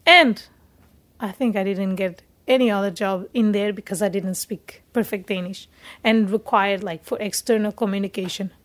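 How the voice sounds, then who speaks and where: A young woman from Portugal with a Portuguese accent, a native Portuguese speaker telling her story in an interview, often pausing to search for words in English. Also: mid-range voice, steady tone. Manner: hesitant, searching for words in second-language English. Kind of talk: casual personal storytelling in an interview.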